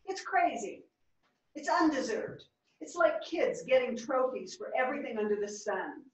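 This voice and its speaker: Heard from a distance in a very echoey theater, a woman acts an opinionated grumbler. The voice is fairly high, wry and declarative, as in a play reading. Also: sing-song, moderate pace, slight background noise. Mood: exasperated. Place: small theater stage. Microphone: far camera.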